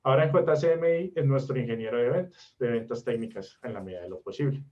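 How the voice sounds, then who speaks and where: A man's voice: medium pitch, fast, distant mic, muffled recording. A man hosting a webinar, politely introducing the guest speaker's career. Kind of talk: formal introduction.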